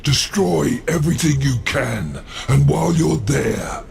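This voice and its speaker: An actor playing a proud, scheming demon lord in a video game, speaking with gravelly, imperious menace. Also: male voice, lively intonation, a little mellow, a little hiss or hum, exaggerated voice. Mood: calculating, menacing. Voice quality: gravelly, low. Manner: imperious, deliberate, silky menace. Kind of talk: video game cutscene acting.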